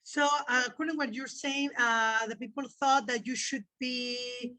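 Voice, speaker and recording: Woman, podcast host, friendly, hesitant, higher voice, dry close sound. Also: fairly even intonation, moderate pace, bright, forceful tone, no room sound. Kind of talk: interview hosting.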